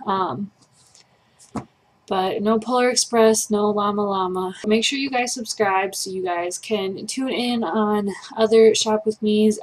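A young woman chats to her followers in a casual vlog, filling her sentences with ums and quick asides. Her voice sits at an ordinary middle pitch. A faint hiss runs under the phone recording. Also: low-quality recording. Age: young adult. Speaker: vlogger. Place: car and bookstore, later a home room at a webcam. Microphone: phone camera at arm's length, later a webcam.

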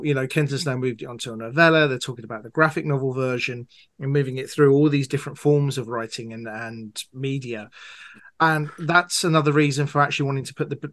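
A man's voice: medium pitch, a bit bright, dry recording. A man giving conversational, explanatory answers as an author being interviewed, talking at length.

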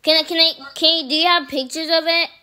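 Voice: very high voice, fairly even intonation, quick pace, sharp tone, slight background noise. A child hosting a gaming video, chatting casually during play. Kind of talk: casual chat during Roblox gameplay.

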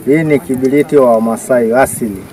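Tanzanian man explaining slowly outdoors. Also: mid-range voice, steady tone, mellow, far from the mic. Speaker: Maasai villager demonstrating fire-making. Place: outdoors in open grassland.

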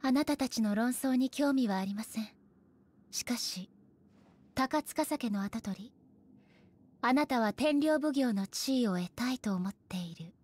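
An actress playing a stern, aloof woman shogun in an anime game, speaking formally with measured authority. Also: on the high side, darkish tone. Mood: stern, composed. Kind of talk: anime game character acting.

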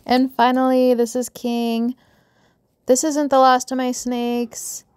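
A young woman chatting casually as she shows off pet snakes outdoors on a backyard deck, speaking in an unhurried, affectionate way into a handheld phone camera. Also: sits high, flat delivery, a little mellow, clean tone, no echo. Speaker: vlogger showing pet snakes. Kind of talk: casual show-and-tell narration.

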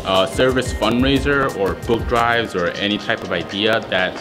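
A teenage boy with a low voice speaks about his school event, halting and restarting often as he searches for words. Background music runs steadily underneath. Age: teens. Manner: halting, with frequent ums and restarts. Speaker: student organizer interviewed. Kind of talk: casual interview.

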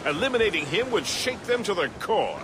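A man's voice: sits high, very animated, a little fast, background noise. A man playing a jovial, talkative, folksy first mate of a warship in a video game. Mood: chatty, reminiscing. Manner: folksy, chatty. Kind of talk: video game cutscene acting. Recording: music under the dialogue.

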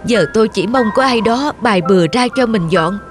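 A woman's voice: on the high side, a little fast, natural voice. A woman playing a lonely, regretful middle-aged woman who lives alone, in a cartoon. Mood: wistful. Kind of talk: Vietnamese cartoon voice acting. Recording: music under the voice.

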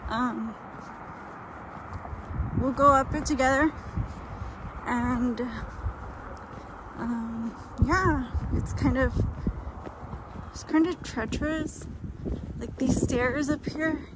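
A middle-aged woman chatting casually as she walks outdoors, speaking in a halting way that trails off, recorded on a handheld phone. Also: high voice, steady tone. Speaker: vlogger. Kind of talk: casual walking-tour chat. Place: outdoors walking along roadside and hilly park. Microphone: handheld phone at arm's length. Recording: loud outdoor street and wind noise.

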